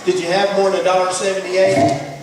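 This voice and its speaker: Male storyteller for a kids' puppet show, high voice, informal, heard from some distance. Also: level tone, fairly quick, crowd noise. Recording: echoey hall, distant microphone.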